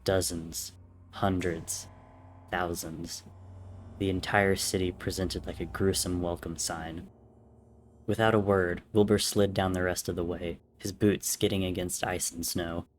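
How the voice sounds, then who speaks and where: A man's voice: sits low, little pitch movement, unhurried, slight background noise. A man reading fiction as a dramatic, scripted narrator. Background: soft music or ambience.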